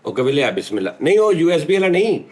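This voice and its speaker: A man's voice: on the high side, sing-song, racing, a little mellow. A man with a Pakistani Punjabi accent hosting casual comic banter, teasing and acting out mock dialogues. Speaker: comedy talk show host.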